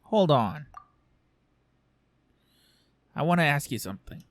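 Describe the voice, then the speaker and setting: A man's voice: on the high side, very animated, fast. A man streaming a game, reading dialogue choices aloud into a close desktop microphone.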